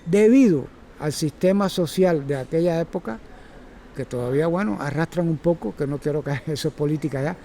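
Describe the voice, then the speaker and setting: A Cuban-accented man in his sixties lectures a class on circus method. His voice sits a little high yet sounds warm and mellow. He rambles, stops, and corrects himself as he goes, over a faint hiss of noise. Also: a little fast, creaky. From Cuba. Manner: rambling, self-correcting, chatty. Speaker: circus instructor. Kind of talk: informal workshop lecture.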